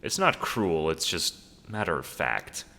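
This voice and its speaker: A man's voice: a bass voice, big pitch swings, unhurried, gravelly, sharp tone, big-room sound, full character voice. A man voicing a tired, wary, downtrodden woman, a former postmistress, in a matter-of-fact, unimpressed manner for an audio drama. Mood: resigned. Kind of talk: audio drama character acting.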